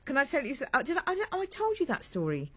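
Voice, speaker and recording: A woman hosting a live morning radio show in a studio, chatty and rambling, her voice sitting lower than usual after a late night. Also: wildly swooping pitch, fast talker. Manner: rambling, warm and self-mocking, with laughs. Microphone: large studio mic with a fluffy cover.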